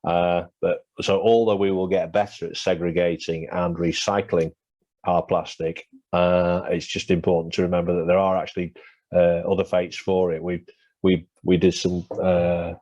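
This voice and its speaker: A man's voice: low-pitched, little pitch movement, moderate pace, warm tone, dry recording. A man presenting a webinar and answering questions, talking with many fillers and restarts, his voice going. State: losing his voice. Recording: dull lo-fi webinar audio.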